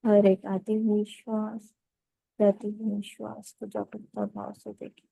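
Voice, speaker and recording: A woman leading a guided meditation, giving calm, unhurried instructions in short phrases with pauses. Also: medium pitch, vocal fry, dry recording, phone-quality sound.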